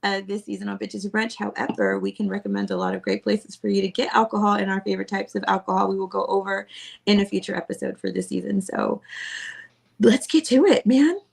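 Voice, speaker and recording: A woman's voice: medium pitch, lively intonation, a little fast, creaky. A woman co-hosting a casual podcast chat, bubbly and teasing, laughing often. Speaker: podcast co-host.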